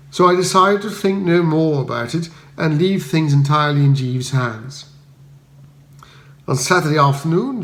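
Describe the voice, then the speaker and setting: A man reading a scripted comic story as an audiobook narrator. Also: fairly high pitch. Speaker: audiobook reader. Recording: roomy, reverberant voice recording.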